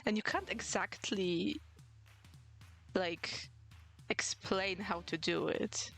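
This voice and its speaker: A woman's voice: medium pitch, expressive, slow pace, gravelly, fairly bright tone, faint music behind. A young woman from Poland, Polish her first language, chatting casually on a livestream in English with a mixed Polish and British accent, laughing often and restarting words. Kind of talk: casual chat while drawing on stream.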